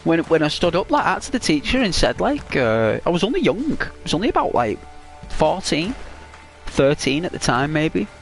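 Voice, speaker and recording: A man's voice: on the high side, huge pitch swings, moderate pace, creaky, faint music behind. A man with a British accent chatting casually while playing a video game, rambling with lots of "like" and restarts. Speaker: gaming channel host.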